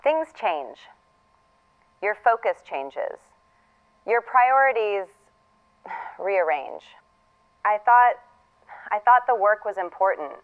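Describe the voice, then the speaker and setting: An actress playing a weary, regretful but resolute woman in an audio drama, speaking a halting, reflective confession. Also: medium pitch, animated, narrow, tinny audio. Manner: halting, reflective, with sighs and pauses.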